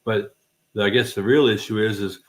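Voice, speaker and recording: A man's voice: lowish voice, fairly even intonation, moderate pace. A middle-aged man hosting a casual late-night chat, rambling with many restarts and self-deprecating jokes. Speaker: host.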